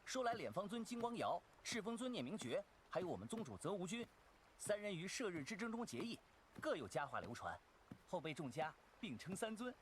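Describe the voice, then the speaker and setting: An actor playing a chatty, theatrical young man in an animated costume drama, with music behind him. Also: sits high, fairly quick, a little hiss or hum. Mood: gossipy, narrating. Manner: theatrical, gossipy. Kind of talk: animated drama dub acting. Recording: played episode with music behind.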